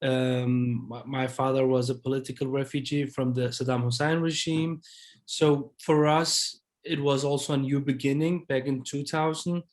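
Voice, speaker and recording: A man's voice: mid-range voice, little pitch movement, moderate pace. A man in his thirties, from Iraq, a documentary director answering panel questions in a hesitant way, with fillers and restarts.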